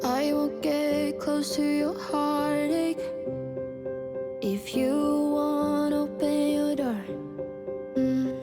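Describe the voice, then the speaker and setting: Lady singing a really slow ballad, kinda high, loud music behind. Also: steady tone. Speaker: singer.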